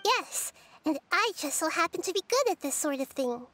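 A woman voicing a small, childlike, gentle and wise nature goddess in a video game, explaining things in a measured, soft, girlish voice. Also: very high voice, very animated, a little hiss or hum, exaggerated voice. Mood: reassuring.

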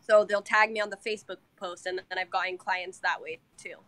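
A young woman from California talks over a webcam from a room at home. Her voice sits at a middle pitch and she rattles on in a chatty way, slipping into little quoted bits of her own and her clients' lines. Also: fairly even intonation, fairly quick, sharp tone. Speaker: salon owner guest. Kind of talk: casual interview chat. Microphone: webcam on video call.

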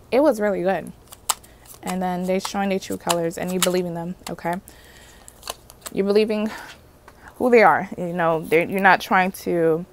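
Gravelly Haitian-accented woman reading tarot. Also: medium pitch, slight background noise. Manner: chatty, repeats "okay?" and "universe, clarify" while pulling cards. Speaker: tarot reader. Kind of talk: casual tarot reading to viewers. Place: indoors at a table covered in card decks.

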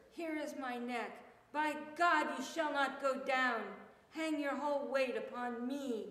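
A woman's voice: high-pitched, a bit bright. A middle-aged woman, a poet, reading poetry aloud with dramatic emphasis. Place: church room indoors, group reading. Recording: echoey hall with long reverb.

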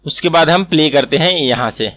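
A man's voice: fairly high pitch, fairly quick, a bit bright. A man teaching animation in a screen-recorded tutorial, explaining step by step and repeating himself.

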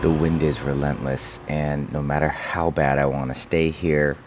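Deep-voiced man vlogging in roaring wind. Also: level tone, normal speed. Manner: laid-back, laughing, with fillers. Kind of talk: casual vlog narration. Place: in and around a truck at a windy desert campsite. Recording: distant camera mic with loud wind noise. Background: strong wind outdoors.

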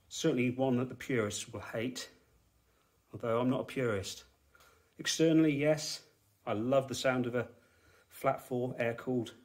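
Man, forties, from England, dry and matter-of-fact, talking over a handheld camera in a garage. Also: mid-range voice. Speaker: car restorer, channel host. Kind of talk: walkaround commentary while filming. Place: indoor garage workshop.

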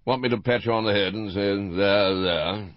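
A man voicing a gruff older British colonel, an intelligence chief who is blunt, teasing and avuncular, in an audiobook. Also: fairly low pitch, moderate pace, dry recording, highs cut off, voice pushed up, lightly acted. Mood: bluff, avuncular.

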